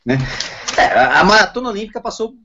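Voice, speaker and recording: A man in relaxed casual chat who often ends his phrases with a tag question. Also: high-pitched, fast talker. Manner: relaxed, tags lines with "né?". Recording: lo-fi with faint noise.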